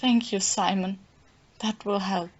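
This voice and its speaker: A woman's voice: mid-range voice, steady tone, unhurried, close to an everyday voice. A woman playing a distraught young woman desperately devoted to her lover in a melodramatic audio play. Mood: grieving, grateful. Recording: muffled, dull lo-fi recording.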